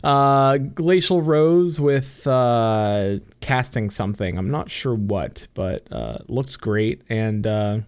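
A man's voice: mid-range voice, expressive, slow, few pauses, clean tone, no room sound, studio quality. A young man co-hosting a casual gaming podcast over a voice call. He giggles a lot, swears freely and uses many fillers. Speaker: podcast co-host. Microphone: computer mic on voice call.

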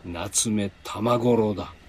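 An actor playing a gruff, grumbling middle-aged detective in a comical hard-boiled audio drama, with a curt, blunt manner. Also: male voice, fairly low pitch, slow pace, a little mellow. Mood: flustered, grudging. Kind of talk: Japanese audio drama acting.

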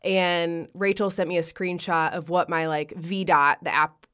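A woman with a lowish voice hosts a friendly podcast chat. She sounds eager and keeps her speech loose and conversational, with plenty of "like". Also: flat delivery, measured pace, a bit bright, clear, resonant tone. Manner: enthusiastic, lots of "like". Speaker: podcast host. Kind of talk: casual chat.

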